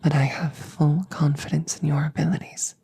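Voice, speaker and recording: A woman playing a calm, reassuring damaged female android in an ASMR audio roleplay, speaking in soft, breathy whispers with measured, formal phrasing. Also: low voice, heavily acted. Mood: soothing, reassuring. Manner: slow, measured, precise formal phrasing with pauses.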